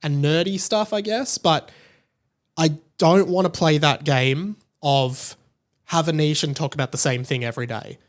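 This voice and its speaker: Male podcast host, slightly high-pitched, conversational and rambling monologue style. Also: measured pace. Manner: rambling, conversational, often tags points with "right?". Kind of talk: casual solo podcast monologue.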